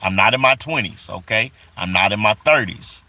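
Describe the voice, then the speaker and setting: A man's voice: on the low side, lively intonation, moderate pace, bright, forceful tone. A man in his forties on a casual live stream, reading viewers' comments aloud and greeting them in a loose, repetitive way full of fillers. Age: 40s.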